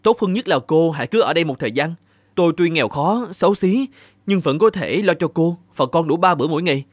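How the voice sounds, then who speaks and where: A man's voice: on the high side, lively intonation, fast, no echo. A man playing a poor, blunt but kind young hero in a fairy-tale cartoon, speaking theatrically. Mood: earnest, kind. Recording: music behind the voice.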